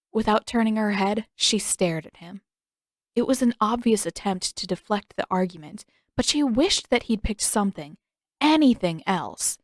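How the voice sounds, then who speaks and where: A woman's voice: medium pitch, no echo. Woman narrating fiction, close and dry. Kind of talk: scripted storybook narration.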